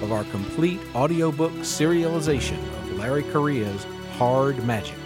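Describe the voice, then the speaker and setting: A man's voice: mid-range voice, normal speed, loud music behind the voice. A man presenting a book podcast as its host, reading scripted segments and putting questions to a guest. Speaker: podcast host, contributing editor. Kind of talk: scripted podcast introduction and news reading, then interviewing.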